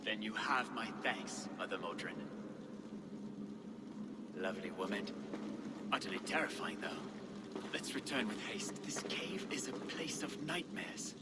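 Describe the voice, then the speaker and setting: Lively, proud heir-apparent nobleman. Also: on the low side, measured pace, long pauses, very noisy. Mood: wry. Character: proud, fussy young nobleman heir to an eldership. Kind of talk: dramatic game cutscene acting.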